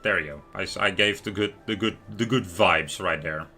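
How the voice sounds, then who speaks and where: A man hosting a livestream, giving casual, expressive, rambling game commentary addressed to his viewers. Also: low-pitched, normal speed, a little hiss or hum. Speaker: livestream host.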